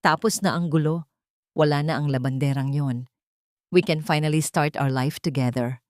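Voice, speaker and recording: A woman's voice: low-pitched, fairly even intonation, normal speed, no room sound, natural voice. A scheming young mistress, played by a woman storyteller, coaxes with false tenderness. The delivery is storybook melodrama, recorded dry and close. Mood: coaxing. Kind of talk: dramatic audio storybook dialogue.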